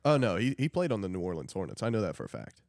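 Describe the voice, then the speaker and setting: Young dude on a sports podcast, lowish voice, really quick talker, dry sound. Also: male voice, very animated, gravelly, a little mellow, no room sound. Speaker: podcast co-host. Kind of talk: casual sports chat among friends.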